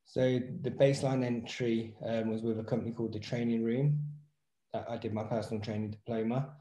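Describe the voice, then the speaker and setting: A man's voice: medium pitch, fairly even intonation, brisk, warm tone. A man in his thirties talking casually and reflectively as an interview guest, explaining his work as a personal trainer over a video call. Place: small indoor room at home, video call. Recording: roomy echo on voice.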